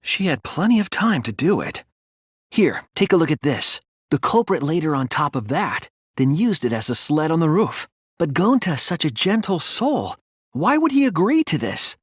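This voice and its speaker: A man voicing an earnest, analytical teenage boy detective in a video game, reasoning deliberately through scripted dialogue. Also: fairly high pitch, big pitch swings, slightly rough, no echo, studio quality. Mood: serious, explaining, then urgent, surprised.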